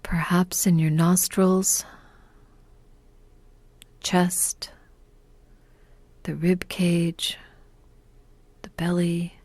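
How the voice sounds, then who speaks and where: A woman guides a meditation at a very slow, unhurried pace. Her voice is mellow, soft and breathy, often close to a whisper. She sounds calm and gentle, recorded close and dry. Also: on the low side, no room sound. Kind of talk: guided meditation, scripted narration.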